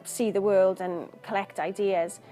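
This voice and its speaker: Woman poet, mid-pitched, thoughtful interview talk, earnest and searching. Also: steady tone, measured pace, warm tone, soft background music, rough recording. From Wales.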